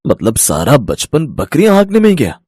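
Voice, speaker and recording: A man acts a teasing young man in a comic family story. His voice is fairly high and rough-edged, swinging widely in exaggerated delivery, recorded dry. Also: huge pitch swings, moderate pace, a little mellow, no room sound, exaggerated voice. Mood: flustered. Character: young Indian men: cheeky suitor, groom, teasing brother-in-law.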